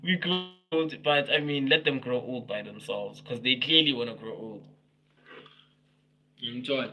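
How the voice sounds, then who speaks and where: A man's voice: medium pitch, unhurried, pushed and bright, rough recording. A man in his twenties chatting casually with viewers on a livestream, teasing them, laughing often and repeating himself. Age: 20s. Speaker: livestream host.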